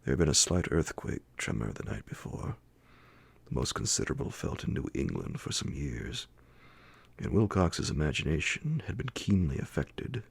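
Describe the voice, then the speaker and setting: Very deep, gravelly male ASMR narrator. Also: big pitch swings, no room sound. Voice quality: gravelly, breathy, partly whispered. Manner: hushed, slow, deliberate phrasing. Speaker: narrator reading classic horror fiction aloud. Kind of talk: ASMR audiobook bedtime story narration. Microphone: close, dry microphone.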